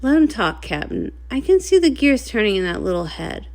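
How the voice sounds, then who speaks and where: A woman's voice: medium pitch, big pitch swings, moderate pace, creaky, a little mellow. A woman voicing a strong, easygoing male pony digger with a modest, friendly manner for a storybook. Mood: supportive, confident.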